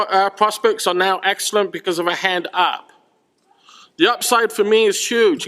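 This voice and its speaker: A man's voice: high-pitched, fairly bright tone. A man speaking as a student at a rally, earnestly reading out a prepared speech. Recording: hall with long reverb.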